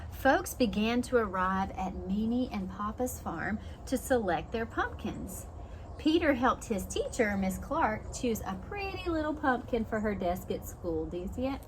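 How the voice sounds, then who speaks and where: A woman with a medium-pitched voice reads a storybook aloud to preschoolers. She is expressive and breaks off to explain or ask simple questions. Clear background noise runs under her voice. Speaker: preschool teacher reading aloud. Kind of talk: storybook read-aloud for young children, with asides.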